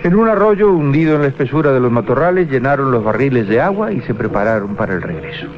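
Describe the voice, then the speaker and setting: A man narrating a radio drama, telling the story with deliberate, halting suspense. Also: mid-range voice, very animated. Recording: muffled 1980s radio recording with music. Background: music.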